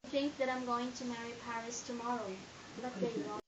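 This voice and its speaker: A woman's voice: a little high, little pitch movement, brisk, background noise, natural voice. A woman playing a desperate teenage girl. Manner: pleading, anxious. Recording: echoey room, mic far off, dull sound.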